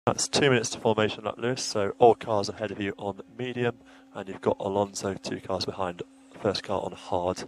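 A man with a low voice gives a race briefing as an engineer. He is matter-of-fact, and his words come through a team radio effect. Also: fairly even intonation, fairly quick, hardly any pauses, low-quality recording.

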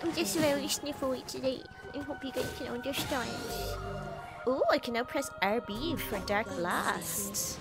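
A woman's voice: high voice, a little slow, loud music behind the voice. A woman streaming a game, sniffly, teasing her chat in a playful, profane way. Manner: playful, teasing, swears freely. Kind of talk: casual gameplay livestream chat.

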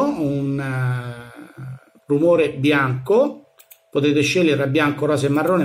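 A man giving an informal hands-on software tutorial, thinking aloud as he narrates each step. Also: on the high side. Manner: thinks aloud, narrating each click with small verbal sound effects. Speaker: tutorial presenter. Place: indoors in a room. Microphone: handheld phone filming a laptop screen.

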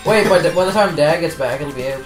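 A man's voice: a little high, a little fast. A teenage boy playing a video game with his brother, blunt and sarcastic, often mumbling. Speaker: co-player. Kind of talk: casual let's play banter. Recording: roomy, with game music.

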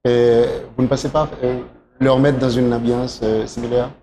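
Some faint noise sits behind a man with a Haitian accent. He hosts a talk show, asking questions in a conversational, halting way at a medium pitch. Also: level tone, dark tone. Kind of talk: conversational interviewing. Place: small talk show studio, behind a desk. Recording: noticeable background noise.

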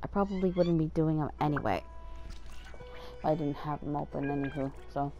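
A woman's voice: low-pitched, normal speed, mellow, lo-fi sound, faint music behind. A woman streaming a game with casual, muttered, self-deprecating commentary and some swearing.

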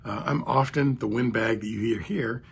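A hoarse man talking in a rambling, chatty way to the camera from a home music room, with a raspy voice from vocal cord strain. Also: medium pitch, moderate pace. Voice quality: hoarse, gravelly. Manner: rambling, chatty, self-deprecating. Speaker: trumpet hobbyist vlogger. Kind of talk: casual talk to camera. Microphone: studio mic beside camera.

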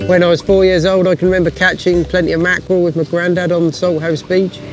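Young man, higher-pitched, over music. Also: little pitch movement, fast talker. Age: young adult. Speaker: sea angler and crab fisherman. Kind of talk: informal documentary-style talk to camera about fishing.

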